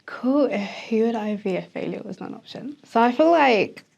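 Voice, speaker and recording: A woman's voice: a little high, animated, normal speed, vocal fry, darkish tone, highs cut off, slight background noise. A 22-year-old woman, a neuroscience student, chatting casually as a podcast guest, laughing often and filling her speech with "like" and "um". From grew up in the UK. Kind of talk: casual interview chat.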